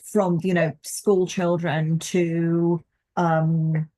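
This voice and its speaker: A woman's voice: fairly low pitch, flat delivery, drawn-out words, dark tone. A young woman researcher in a reflective conversation, speaking articulately and thoughtfully with hesitant phrasing. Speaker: postdoctoral folklore researcher and co-host.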